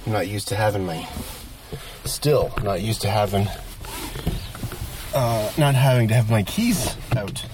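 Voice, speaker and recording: A man hosting his podcast in a hoarse, gravelly voice, chatting casually and laughing at himself. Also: on the low side, measured pace, pauses often, background noise. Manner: chatty, self-deprecating, laughs often. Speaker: podcast host. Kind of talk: rambling casual monologue. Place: in his car for the later part.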